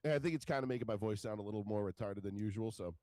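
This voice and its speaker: A man's voice: lowish voice, racing, mellow, no room sound, clean studio sound. A man in his forties with a stuffed-up, nasal voice, rambling crudely and mocking himself in a casual chat as co-host. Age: 40s. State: stuffed up, congested. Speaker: podcast co-host.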